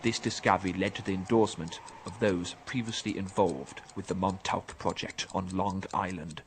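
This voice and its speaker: Male actor as confined academic: deep-toned, defensive, articulate, aggrieved. Audio drama, slight noise bed. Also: fairly even intonation, normal speed, natural voice. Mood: earnest narrating. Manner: insistent, bitter, articulate. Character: agitated, defensive male professor confined to an asylum. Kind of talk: radio drama acting.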